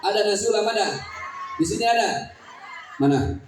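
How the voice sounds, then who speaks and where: A man's voice: high-pitched, animated, normal speed, a faint crowd, a little hiss or hum. A man preaching with a Betawi accent at a community gathering, joking and teasing the audience with questions. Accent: Betawi Malay. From Jakarta, Indonesia. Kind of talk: humorous religious talk at a community gathering. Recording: echoey, distant hall sound.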